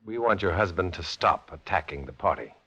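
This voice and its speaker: An American actor in a 1950s radio drama playing a cool, guarded undercover agent with a clipped, measured, insinuating delivery. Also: male voice, low voice, lively intonation, pushed and bright, breathy edge, heavily acted. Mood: cool, businesslike. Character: cool, guarded male undercover agent posing as Communist blackmailer. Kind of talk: 1950s American radio drama acting with first-person narration. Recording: dull, lo-fi old radio recording, music behind.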